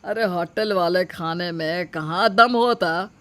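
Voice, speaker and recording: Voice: mid-range voice, takes it slowly, a bit bright. A performer voicing a pious, overbearing elderly village uncle in an audio moral story, with rustic, scolding phrasing. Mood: entitled.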